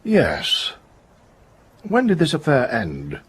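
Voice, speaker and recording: An actor playing an unyielding police inspector in a period radio drama, questioning with deliberate authority. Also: male voice, medium pitch, huge pitch swings, fairly bright tone, slight background noise, heavily acted. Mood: probing.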